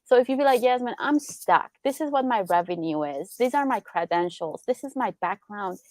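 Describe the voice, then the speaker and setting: A woman's voice: mid-range voice, animated, normal speed, a little mellow, no room sound. A young adult woman from Iran, a business attorney, explaining in casual interview chat with lots of rhetorical questions.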